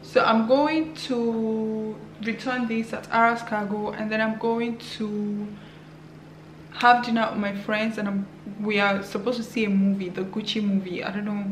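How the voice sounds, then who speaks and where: A woman's voice: medium pitch, little pitch movement, a little slow, a little hiss or hum, rough recording. Chatty young woman vlogging to camera. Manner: chatty, laughs often, talks straight to viewers. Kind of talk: casual vlog chat to camera. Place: apartment indoors, later outdoors in a city. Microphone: handheld camera at arm's length.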